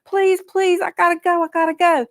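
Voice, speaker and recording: A woman voicing an eager, greedy male turtle who begs in a high, pleading voice. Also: little pitch movement, normal speed, no echo, clean studio sound.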